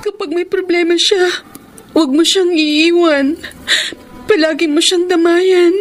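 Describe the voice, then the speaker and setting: Casting: sorrowful Filipino woman in love, high register, emotional radio drama, light musical underscore. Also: steady tone, a little slow, faint music behind. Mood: tearful devotion. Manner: melancholy, emotional. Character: sorrowful, self-sacrificing woman storekeeper in love.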